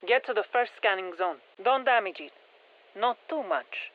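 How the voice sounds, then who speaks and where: An actress playing a brisk, focused female military scientist giving clipped mission instructions over a radio in a video game. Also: mid-range voice, expressive. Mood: brisk, instructive. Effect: radio filter. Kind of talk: scripted game mission briefing. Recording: tinny band-limited radio transmission.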